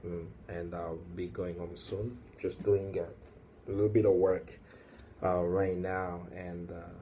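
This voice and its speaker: A man's voice: sits low, flat delivery, takes it slowly, warm tone, slight background noise. A young man from the Congo speaking English, talking alone to a camera in a hesitant way with many fillers that builds into emphatic warnings. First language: Swahili or Lingala. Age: young adult. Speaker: activist. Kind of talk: solo video monologue. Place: indoors at night, working.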